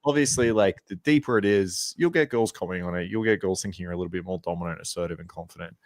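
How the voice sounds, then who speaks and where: A man giving casual dating advice on a podcast, relaxed and full of "um" and "right". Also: deep voice, fast talker, dry recording, clean studio sound. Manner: relaxed, many ums and rights. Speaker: dating coach.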